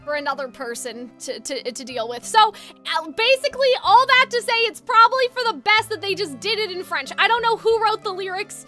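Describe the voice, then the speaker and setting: Very high, bright, slightly congested woman streamer. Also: sing-song, fairly quick, soft background music. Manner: rambling, informal, opinionated with casual swearing. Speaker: game livestreamer. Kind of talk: casual livestream chat with viewers.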